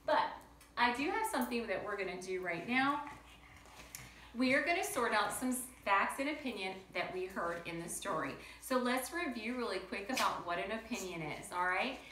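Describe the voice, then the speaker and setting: A woman's voice: mid-range voice, lively intonation, normal speed, sharp tone. A woman teacher reading to children and explaining simply, chatty and full of questions for her young listeners. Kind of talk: picture-book read-aloud and simple lesson for children. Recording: roomy echo with faint background noise.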